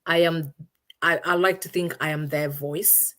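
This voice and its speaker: Low-voiced thoughtful woman from Uganda. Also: fairly even intonation, unhurried, a bit bright. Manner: thoughtful, searching for words with many restarts. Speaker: guest. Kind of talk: reflective interview answers.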